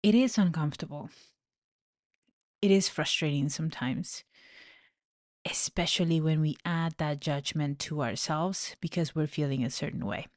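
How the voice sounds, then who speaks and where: A woman's voice: deep voice, moderate pace, long pauses, dry recording, studio quality. A pregnant woman in her thirties from Venezuela, hosting a faith-based coaching podcast in an earnest, heartfelt way. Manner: earnest, heartfelt, with soft laughs. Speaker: podcast host, life coach. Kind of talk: personal reflective podcast talk.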